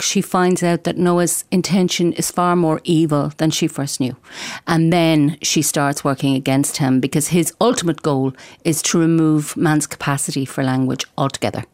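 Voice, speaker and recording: Low-voiced woman author, thoughtful. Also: fairly even intonation, moderate pace, a little mellow, no room sound. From Ireland. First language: Irish. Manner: thoughtful, earnest, with hesitations. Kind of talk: explaining her book in conversation.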